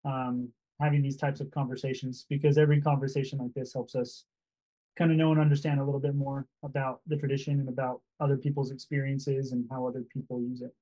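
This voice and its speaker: A man's voice: medium pitch, level tone, fast, mellow, rough recording. A man who co-owns a sauna business, explaining steadily in a casual video call. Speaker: sauna business co-owner, guest.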